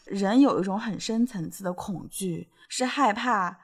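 Woman podcast co-host, easy mid-pitched chat voice, talks things through at length. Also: unhurried, slightly rough. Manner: explains at length, stacks many agreeing "对对对". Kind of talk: casual chat about fairy tales.

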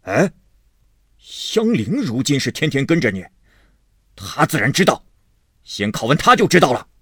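A reader doing a blustering hot-tempered husband. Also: male voice, mid-range voice, big pitch swings, a little slow, a bit bright, breathy edge, no room sound, clean studio sound, voice pushed up, exaggerated voice. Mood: gruff scolding. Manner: blustering, scolding.

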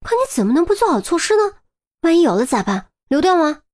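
A woman narrator acts out a brisk young policewoman from an audiobook. Her voice is high and swings widely in pitch. She fires questions and scolds, protective of her friend. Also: sing-song, darkish tone. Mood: exasperated scolding. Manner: pressing, rapid-fire questioning. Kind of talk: audiobook character dialogue.

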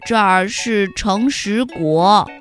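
A woman voicing a friendly, honest little ant who explains things slowly and patiently in a lower voice. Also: soft background music, lightly acted. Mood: earnest.

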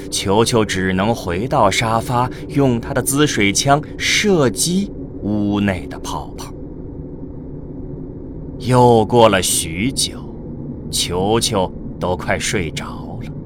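A man narrating a scripted radio drama as a storyteller. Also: medium pitch. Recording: clearly noisy with ambient vehicle sound.

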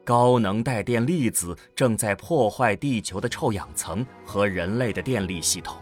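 A man's voice: fairly low pitch, normal speed. A man gives dramatic scripted narration for a science fiction audio drama, in a storytelling style. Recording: background music under the voice. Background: music.

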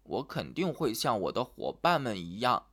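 A man's voice: mid-range voice, very animated, pitched below the performer's own voice. A man voicing a timid little male bean in a children's storybook tale, speaking hesitantly with a stammer. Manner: stammering, slow and hushed.

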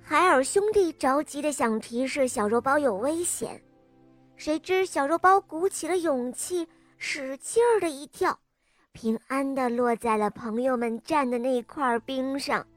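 A woman's voice: high-pitched, a little slow, no room sound. A woman reading a scripted storybook tale for young children, expressively and addressed to little listeners. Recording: music under the voice. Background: music.

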